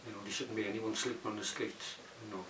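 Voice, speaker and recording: A man in his sixties with a lowish voice and a Liverpool accent, speaking as an interviewee. He sounds distant from the mic in a roomy space, with noticeable background noise. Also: little pitch movement, fast. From Bootle, Liverpool, England. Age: 60s. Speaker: documentary interviewee. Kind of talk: unscripted interview answers.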